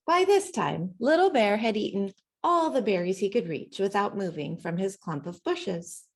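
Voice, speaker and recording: A woman reading a children's picture book aloud in an expressive storytelling manner, acting out the sounds and dialogue. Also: mid-range voice, moderate pace. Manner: animated, acts out sounds and dialogue. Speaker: storybook reader.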